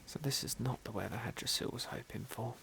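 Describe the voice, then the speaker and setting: A British man narrating an ASMR game playthrough in a gentle, polite, understated manner. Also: mid-range voice, fairly quick, gravelly, background noise. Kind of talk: whispered ASMR roleplay and commentary.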